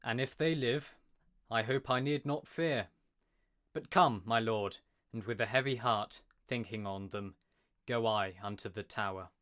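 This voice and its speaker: An actor playing a brave, solemn young prince in Shakespearean audio drama, speaking formal verse earnestly. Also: male voice, medium pitch, unhurried, fairly bright tone, natural voice. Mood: solemn, wary.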